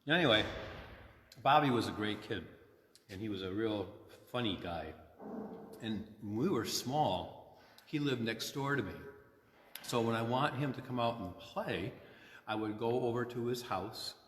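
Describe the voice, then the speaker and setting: A man in his sixties, a priest, talking informally and simply to children at storytime, gently explaining and checking in with questions. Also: medium pitch, animated, unhurried, pauses often, slight background noise. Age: 60s. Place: inside a church. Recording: echoey, long reverb of a church.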